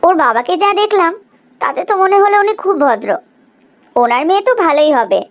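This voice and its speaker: Cartoon mother of an adult son, played by a woman: extremely high voice, opinionated, scolding manner. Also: sing-song, a little fast, muffled recording. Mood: approving. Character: disapproving mother of a grown son. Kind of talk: cartoon story dialogue.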